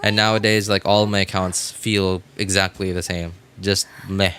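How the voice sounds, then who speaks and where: A man's voice: sits low, flat delivery, normal speed, fairly bright tone, clean tone. A man chatting casually on a game livestream, talking to viewers in a hesitant way and often repeating his phrases. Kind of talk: casual livestream chat, reading and answering viewer comments. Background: background music.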